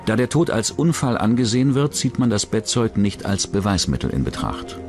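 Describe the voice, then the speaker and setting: A man narrates a true-crime documentary in a very low voice. His intonation moves widely up and down, and music plays clearly underneath him. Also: sing-song, moderate pace. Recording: dramatic music bed under the voice. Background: background music.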